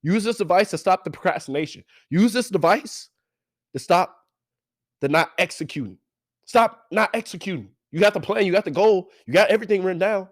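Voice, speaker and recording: A man's voice: sits high, fairly quick. A young man with a stuffy, congested voice hosts a motivational podcast, talking with lots of energy and repeating his phrases like a preacher. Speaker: podcast host.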